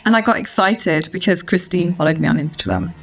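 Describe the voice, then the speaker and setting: A woman's voice: fairly low pitch, a little fast. A woman guest on a podcast, chatting casually in an informal, slangy way. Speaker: podcast guest, reality show contestant. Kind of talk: casual chat.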